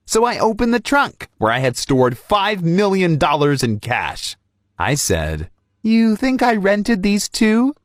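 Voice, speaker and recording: A man narrating a scripted first-person story with animated, emphatic delivery. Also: mid-range voice, dry recording, studio quality.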